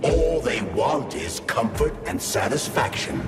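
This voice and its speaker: Evil post-human game villain, haughty and cold, pitch swings all over, loud music behind. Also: male voice, big pitch swings. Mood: cold contempt. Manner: haughty, scornful, slow pronouncements. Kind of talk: dramatic video game acting. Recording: roomy echo under loud music.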